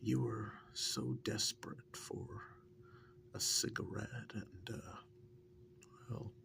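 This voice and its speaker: Very slow, creaky, soft-spoken acted ASMR man. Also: lowish voice, fairly even intonation, exaggerated voice. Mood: musing, reminiscing. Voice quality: soft, breathy, gravelly, half-whispered. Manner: halting, unhurried, musing with many pauses. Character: easygoing, wryly fond long-term partner and former kidnap victim. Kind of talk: ASMR role-play, soft speaking.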